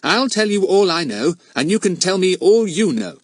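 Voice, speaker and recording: A man's voice: high voice, sing-song, normal speed, no room sound, clean studio sound, pitched above the performer's own voice. A man voicing a confident, earnest teenage boy detective in an audiobook, well-spoken, polite and persuasive with a cheeky streak. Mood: hearty. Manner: well-spoken, persuasive, eager schoolboy phrasing.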